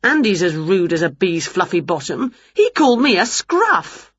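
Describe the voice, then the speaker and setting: A woman voicing an excitable, dramatic witch who is easily put out, in a children's storybook. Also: fairly low pitch, moderate pace, breathy edge, dry recording, dull audio. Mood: indignant. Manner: exclamatory, dramatic.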